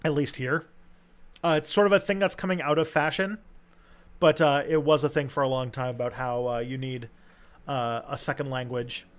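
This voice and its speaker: A man from Canada hosting a casual livestream chat, talking loosely with lots of fillers and pauses. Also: mid-range voice, steady tone, clear, resonant tone. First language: English. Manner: chatty, hesitant, many fillers. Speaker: host.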